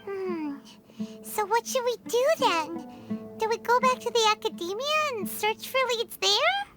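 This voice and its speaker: A woman voicing a small, childlike fairy companion in a video game, excitable and chirpy. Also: very high voice, measured pace. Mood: puzzled. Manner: refers to self in third person, excitable. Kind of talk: video game dub acting. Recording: music behind the voice.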